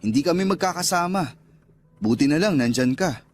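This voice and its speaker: Highish-voiced indulgent sugar daddy played by an actor. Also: male voice, expressive, moderate pace, a little hiss or hum, natural voice. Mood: wistful. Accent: Filipino. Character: indulgent, seductive sugar daddy, restaurant owner. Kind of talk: Filipino radio drama acting.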